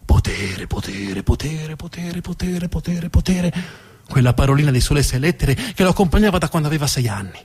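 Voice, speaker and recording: A man's voice: a little high, big pitch swings, brisk, darkish tone, breathy edge. A man performing a narrative theatre monologue, emphatic and theatrical, repeating words for effect. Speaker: storytelling stage actor.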